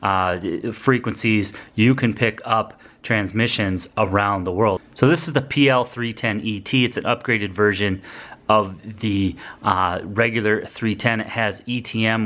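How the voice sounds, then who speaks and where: A man's voice: lowish voice, a bit bright. A man explaining and demonstrating a product in a plainspoken, informal review style.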